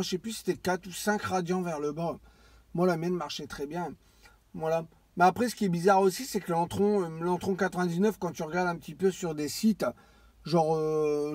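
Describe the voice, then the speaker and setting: A man with a somewhat high voice talks in a dry, close sound. He rambles casually as a radio hobbyist, hesitating and circling back over his own phrases. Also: a little mellow, dry recording. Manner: rambling, hesitant, repeats himself. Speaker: amateur CB radio operator.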